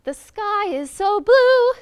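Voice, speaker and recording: A woman voicing a silly storybook fox who helplessly bursts into loud, sing-song exclamations for children. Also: very high-pitched, lively intonation, slow pace, voice pushed up, heavily acted. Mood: compulsive, loud.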